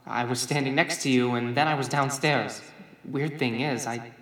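A man playing a dry, practical watchtower crewmate in an audio drama, understated and deadpan. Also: mid-range voice, normal speed, reverberant, natural voice. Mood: puzzled, practical. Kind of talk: audio drama acting, naturalistic.

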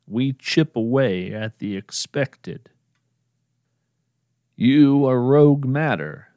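A man narrating his podcast in a slow, measured, earnest scripted address to the listener. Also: medium pitch, lively intonation, warm tone, dry recording, studio quality.

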